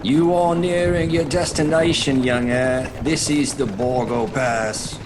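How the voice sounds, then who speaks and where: An actor playing a strained coach driver, calling out loudly in a horror audio drama. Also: male voice, medium pitch, steady tone, takes it slowly, noisy background, lightly acted. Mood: urgent calling. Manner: loud, calling out. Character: strained, high-voiced coach driver on a stormy mountain road.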